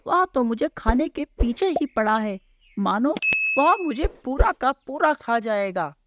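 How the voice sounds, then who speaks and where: A woman's voice: high voice, big pitch swings, takes it slowly, noisy background, heavily acted. A woman voicing an anxious, gullible, easily offended female cow in a children's cartoon story, with a dramatic, complaining manner. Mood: frightened, pleading.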